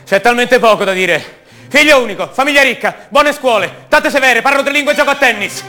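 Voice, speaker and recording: A man's voice: very high voice, fairly quick, sharp tone, faint music behind. An actor playing a troubled young colonel's son in a radio monologue, hesitant and defensive, repeating himself as he doubts who he is. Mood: defensive, agitated. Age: young adult.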